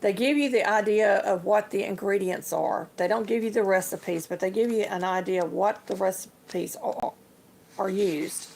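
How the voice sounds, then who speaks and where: An older woman hosting a casual livestream, slightly hoarse and chatty, talking to her viewers as y'all. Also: medium pitch, fairly even intonation, normal speed, a little mellow. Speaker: livestream host. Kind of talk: casual livestream show-and-tell.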